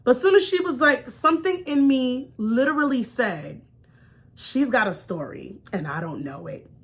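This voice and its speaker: A woman talk show host telling a personal story in an expressive, chatty, informal way, acting out bits of dialogue and checking in with her listeners. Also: high-pitched, moderate pace. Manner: punctuates points with check-in questions like "right?". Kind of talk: animated personal storytelling to an online audience.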